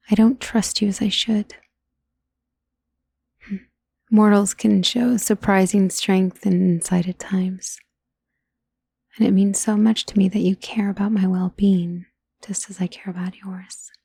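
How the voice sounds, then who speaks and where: A woman playing a powerful, aristocratic vampire who is doting and protective, speaking softly and formally in an intimate ASMR roleplay. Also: mid-range voice, gravelly, husky, no echo. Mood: grateful, affectionate. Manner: formal, refined, genteel wording. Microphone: close, dry studio microphone.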